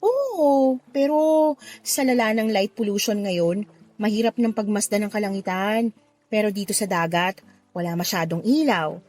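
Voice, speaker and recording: A woman playing a cheerful, friendly young provincial woman in a Tagalog radio drama, playful and expressive. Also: medium pitch, normal speed, natural voice. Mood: playful, warm. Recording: music under the voice.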